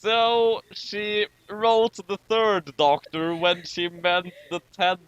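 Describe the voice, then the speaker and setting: A man reading fan fiction aloud with casual, amused commentary, animated and mock-dramatic. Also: high voice, slow, smooth, muffled recording.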